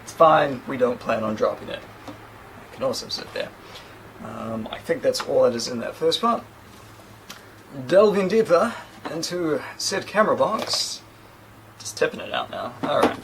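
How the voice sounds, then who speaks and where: A man in his thirties with a New Zealand accent talks through an unboxing in a relaxed, rambling way, throwing in little self-deprecating asides. His pitch bounces around a lot as he goes, and a faint hum of room ambience sits under his voice. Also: unhurried, long pauses, poor audio. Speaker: tech reviewer. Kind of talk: casual unboxing talk. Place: small indoor room against a plain sheet backdrop.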